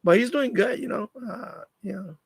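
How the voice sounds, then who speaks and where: High-pitched New man, creaky voice. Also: moderate pace, no echo, clean studio sound. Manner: repeats phrases for emphasis, advice-giving. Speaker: live stream host. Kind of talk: casual live chat monologue.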